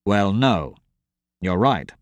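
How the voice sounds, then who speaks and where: A man voicing a brooding, cynical rogue in a fantasy audiobook, speaking curtly in a dry deadpan. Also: takes it slowly, no echo. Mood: dry, matter-of-fact. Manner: low-key, dry, deadpan. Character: cynical, brooding male rogue, curt and unsentimental.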